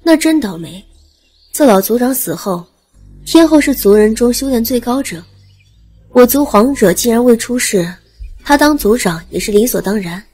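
Woman voicing a frank young phoenix, high-pitched and animated. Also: moderate pace, slightly rough. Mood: resigned. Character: young phoenix, frank, self-deprecating, lowly before a god. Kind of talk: audiobook character acting.

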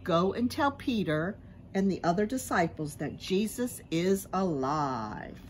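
A middle-aged woman, a preschool teacher, reads a Bible story aloud to young children in a simple, expressive storytelling manner. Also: on the low side, unhurried, slight background noise. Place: outdoors in a sunny grassy backyard. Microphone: camera a short distance away.

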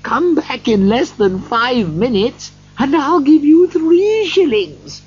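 A narrator acting an exuberant old miser, pitched up. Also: animated, takes it slowly, lo-fi sound. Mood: giddy, excited. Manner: exuberant, eager, effusive. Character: elderly miser turned giddy, joyful, generous.

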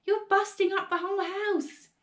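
Lady doing an urgent little boy for a kids story, high pitched, alarmed, really animated. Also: moderate pace. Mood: pleading. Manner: urgent, exclamatory. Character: excitable, alarmed young boy, pleading with grandma.

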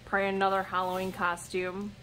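A woman's voice: mid-range voice, completely flat delivery, quick pace, pushed and bright, low-quality recording. Woman, casual vlog banter, short dry replies, teasing. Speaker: vlog co-host behind the camera. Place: inside a storage unit.

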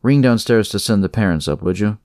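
A clinical male doctor, voiced by a story narrator, calm and matter-of-fact. He sounds thoughtful and authoritative, close and dry, as in a horror audiobook. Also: medium pitch, a little fast, no room sound, close to an everyday voice. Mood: grave, musing.

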